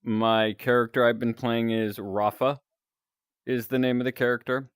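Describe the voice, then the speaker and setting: A man with a deep, announcer-like voice, chatting casually with viewers while he plays a game. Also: normal speed, clear, resonant tone, dry recording, studio quality. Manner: relaxed, rambling, chatting to viewers. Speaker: streamer.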